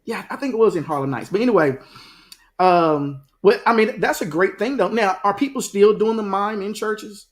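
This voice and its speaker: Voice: medium pitch, moderate pace. An interview host asking loose, chatty, rambling questions.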